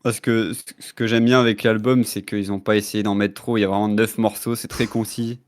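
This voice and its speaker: A man's voice: fairly low pitch, flat delivery, fairly quick. A man chatting informally and enthusiastically as a guest on a show. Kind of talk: casual chat.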